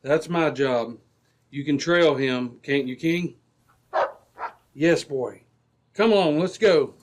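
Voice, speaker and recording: A man playing a steady, brave Mountie sergeant in an old-time radio adventure, brisk, assured and commanding. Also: a little high. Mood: assured, kindly.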